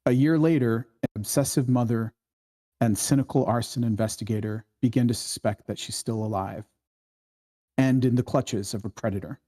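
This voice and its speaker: A man's voice: mid-range voice, normal speed, mellow. A man reading aloud a short introduction in a plain style. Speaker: session participant reading a synopsis aloud.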